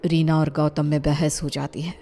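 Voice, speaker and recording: A woman's voice: deep voice, flat delivery, normal speed, dark tone. A woman narrating a scripted story, with music behind her voice.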